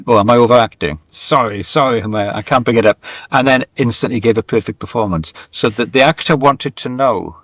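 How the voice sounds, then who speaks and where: A man's voice: lowish voice, fairly bright tone, dry recording. A man being interviewed as a guest writer, telling anecdotes conversationally and often repeating words as he feels his way through an explanation. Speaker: guest, screenwriter and novelist.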